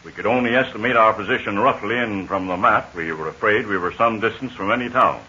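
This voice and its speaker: A grave, weakened army major with a husky, lowish voice that still has a bright edge. The sound is a dull old radio transcription. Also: male voice, fast talker, heavily acted. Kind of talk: 1940s radio acting.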